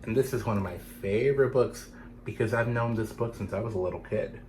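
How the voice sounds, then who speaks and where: A man's voice: lowish voice, brisk, darkish tone, a little hiss or hum, poor audio. A man reading a picture book to children, playfully addressing young listeners as he goes. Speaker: storytime reader for children.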